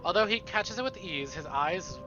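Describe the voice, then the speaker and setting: A male streamer reading visual novel narration aloud in an expressive, dramatic way, with casual asides. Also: high voice, moderate pace, dull audio. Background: game music.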